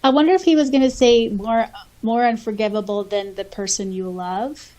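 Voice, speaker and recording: A woman's voice: fairly high pitch, steady tone, normal speed, warm tone. A woman podcast co-host who chats casually and reads out comments in an enthusiastic, giggly manner. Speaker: podcast co-host and audiobook voice actor. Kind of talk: casual podcast chat, reading out listener comments.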